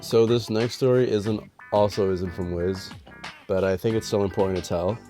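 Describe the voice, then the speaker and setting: A young man with a cold talks to his mic in a loose, meandering storytime ramble, cursing and mocking himself. His voice sits a bit low and sounds stuffy and nasal. Faint music plays quietly underneath. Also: fairly even intonation, normal speed. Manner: rambling, profane, self-deprecating storytelling. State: sick, nasal. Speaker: storytime vlogger. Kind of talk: casual storytime vlog. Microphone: dedicated voice mic.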